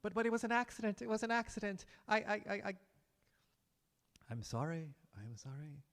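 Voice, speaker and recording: A man voicing a panicked young man who stammers and pleads, his voice raised well above his usual pitch. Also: very animated, normal speed, a bit bright, exaggerated voice. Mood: panicked pleading. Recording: long hall reverb.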